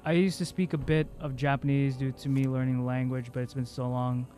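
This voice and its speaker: A man's voice: mid-range voice, flat delivery, a little fast, dark tone, faint music behind. A male game streamer, a native Cebuano speaker, chatting casually and rambling with lots of fillers. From Philippines. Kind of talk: casual livestream chat.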